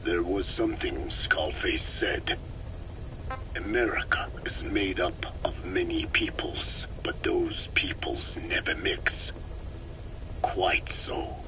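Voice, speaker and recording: An actor playing an elderly, solemn Navajo sage in a video game, speaking formally in a hushed, breathy rasp. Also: male voice, deep voice, whispered, low-quality recording. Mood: solemn, lecturing. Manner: slow, grave, measured, formal phrasing. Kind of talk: video game voice acting, philosophical monologue.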